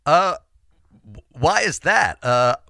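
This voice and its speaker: A man's voice: a little high, big pitch swings, slow pace, creaky, sharp tone, no echo, clean studio sound, exaggerated voice. A man playing a brazen, casual doctor in improvised comedy, rambling and hesitant as he butts in. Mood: puzzled, boastful. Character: brazen, casual male doctor in a robot suit. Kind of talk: improvised comedy audio drama.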